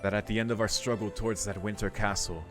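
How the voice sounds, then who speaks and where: A man's voice: fairly low pitch, fairly even intonation, fast talker. Man reading a visual novel aloud. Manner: casual, reacting. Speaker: let's play host. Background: faint game music.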